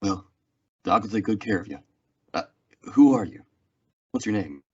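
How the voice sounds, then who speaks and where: A man's voice: on the low side, lively intonation, moderate pace, slightly rough. A man acting a weary, stoic frontier marshal in an old-time radio western drama. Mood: grave. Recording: dull, lo-fi audio.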